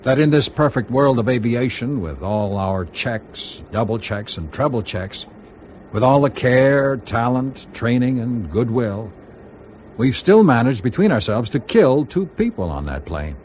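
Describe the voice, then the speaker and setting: An actor playing a proud aircraft company executive in a radio drama, speaking in a formal, rhetorical and insistent way. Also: male voice, medium pitch, sing-song, unhurried, darkish tone, a little hiss or hum. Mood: sombre. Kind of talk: BBC radio drama acting.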